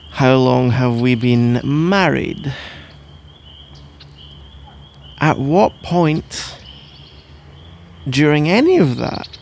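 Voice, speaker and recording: A man's voice: fairly high pitch, vocal fry, darkish tone. A man playing a sleepy, grumbling, playful husband in an ASMR roleplay, rambling and bantering with mock indignation. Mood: teasing, mock-boastful. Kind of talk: ASMR roleplay, improvised-sounding one-sided conversation.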